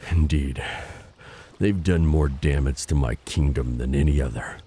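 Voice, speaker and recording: A man playing a grim, vengeful monster ruler in an audio drama, speaking in a solemn, formal, bitter way with a husky voice. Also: a bass voice, unhurried, warm tone, exaggerated voice. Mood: bitter, grave. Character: grim, vengeful monster royal with a lost kingdom. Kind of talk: scripted audio drama acting.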